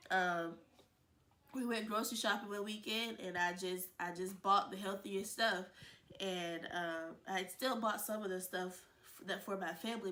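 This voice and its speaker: A woman's voice: medium pitch, sharp tone. A woman with a stuffed-up, congested voice, hosting her own video channel in a casual, chatty way with lots of fillers and restarts. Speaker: vlogger, channel host. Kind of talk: casual chat to camera.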